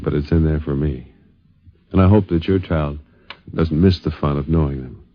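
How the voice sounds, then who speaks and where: A man reading scripted narration for a radio documentary, on a dull 1950s radio recording. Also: sits low.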